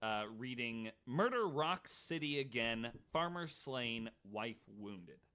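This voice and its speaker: A man's voice: mid-range voice, sing-song, slow pace, sharp tone, clean tone. An American man co-hosting a podcast, telling a story from notes with casual banter. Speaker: podcast co-host.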